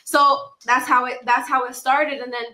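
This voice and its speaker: High, bright-voiced young woman chatting. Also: normal speed, echoey room, slight background noise. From Dominican Republic and New York City. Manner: animated storytelling, acts out her own past remarks. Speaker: podcast guest, actress and content creator. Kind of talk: casual conversational interview.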